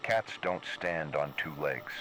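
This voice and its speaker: A man's voice: extremely low pitch, animated, takes it slowly, pushed and bright, poor audio. A man narrating a scripted first-person horror story. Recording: clear background noise.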